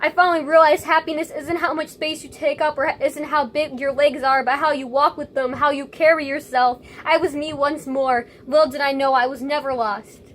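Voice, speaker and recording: A woman's voice: sits high, steady tone, moderate pace, few pauses, fairly bright tone, slight background noise. A young woman performing spoken-word slam poetry with an earnest, dramatic and rhythmic delivery. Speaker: slam poet.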